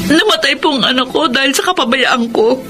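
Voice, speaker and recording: A woman with a Filipino accent acting in a radio drama as a gentle, polite, grieving woman, with emotive delivery. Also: high-pitched, brisk, faint music behind. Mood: anguished pleading.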